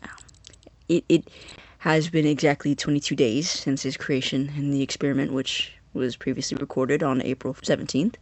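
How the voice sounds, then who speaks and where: An actor playing an earnest, obsessive young scientist in an audio drama, dictating an experiment log in formal, hesitant phrases with a slightly husky voice. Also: male voice, medium pitch, level tone, dry recording, close to an everyday voice. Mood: earnest, proud. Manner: formal wording, hesitant, halting.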